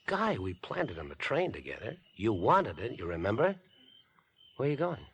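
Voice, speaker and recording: A man's voice: on the low side, wildly swooping pitch, normal speed, breathy edge, full character voice. An actor playing a falsely friendly, wheedling mama's-boy murderer in a 1950s radio suspense drama. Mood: smug, confiding. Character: smooth, insinuating, grown-up mama's-boy murderer with obsessive friendliness. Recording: noisy vintage 1950s radio broadcast.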